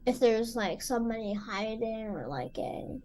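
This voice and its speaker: A woman's voice: fairly high pitch, animated, a little slow, over a noisy crowd. A woman chatting casually and a little tentatively as a player in a tabletop roleplaying game. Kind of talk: casual chat.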